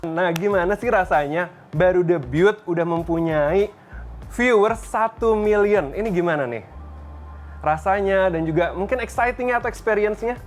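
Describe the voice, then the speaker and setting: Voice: mid-range voice, normal speed, soft background music. Chatty TV host asking casual questions. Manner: chatty, mixes in English words. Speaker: TV show host.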